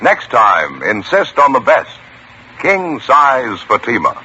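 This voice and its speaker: A man's voice: mid-range voice, wildly swooping pitch, takes it slowly, bright, forceful tone, slight background noise. A man working as a radio announcer with a firm, declarative 1950s broadcast delivery. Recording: dull old radio broadcast with music.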